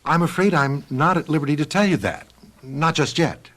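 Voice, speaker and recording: An actor playing a timid, evasive official in a radio drama, hesitant, stammering and apologetic. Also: male voice, fairly high pitch, animated, normal speed, fairly bright tone. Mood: flustered, apologetic.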